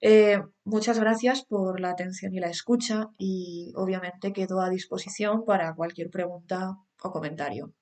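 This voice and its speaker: A woman's voice: on the low side, fairly even intonation, normal speed, hardly any pauses, darkish tone. A young woman giving an academic conference talk, reading quotations aloud and explaining them with hesitant fillers and restarts. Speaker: academic presenter.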